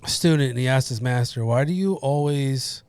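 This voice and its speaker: A man's voice: mid-range voice, moderate pace, darkish tone, clean tone, no echo, studio quality. A man co-hosting a firearms podcast in casual chat, joking and cracking wise between stories. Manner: joking, wisecracking. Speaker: podcast co-host, firearms instructor.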